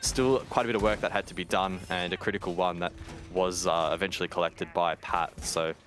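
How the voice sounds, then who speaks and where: A man casting a live esports match, measured in analysis and quick when calling the action. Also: low voice, fairly even intonation, a bit bright, soft background music. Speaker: esports caster. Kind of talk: live play-by-play commentary.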